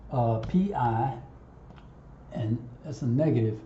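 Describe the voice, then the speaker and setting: A man giving an unscripted software tutorial over a screen recording, haltingly thinking aloud and talking to himself as he works. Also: medium pitch, animated. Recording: roomy, muffled, audible background noise.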